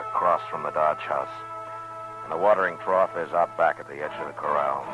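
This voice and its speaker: A man playing a weary, steady frontier marshal in an old radio western, speaking in a grave, measured, laconic way. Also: extremely low pitch, rough recording. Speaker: lead actor and narrator. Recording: old radio recording with music.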